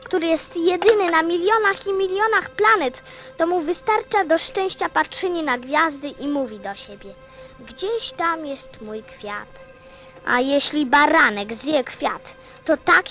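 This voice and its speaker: A woman's voice: high-pitched, animated, unhurried, fairly bright tone, soft background music. A woman playing a small, sensitive little prince in a radio play, with a childlike, earnest, questioning manner. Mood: upset, indignant.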